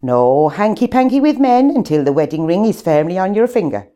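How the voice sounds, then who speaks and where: Lady doing a strict old nun, low mellow voice, blunt and preachy. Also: very animated, fairly quick, dull audio. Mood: stern. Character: stern old nun lecturing schoolgirls.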